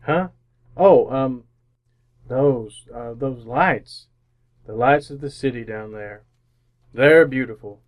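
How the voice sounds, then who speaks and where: An actor playing an awkward, lonely city bachelor in an old-time radio drama, halting and bemused as he talks and narrates. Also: medium pitch, natural voice. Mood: flustered.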